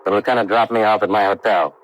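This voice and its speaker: An American actor playing a brisk, hard-boiled investigator who narrates his case in clipped, matter-of-fact 1950s radio style. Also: fairly low pitch, fairly even intonation, muffled recording, close to an everyday voice. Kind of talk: 1950s radio detective acting. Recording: vintage radio drama recording.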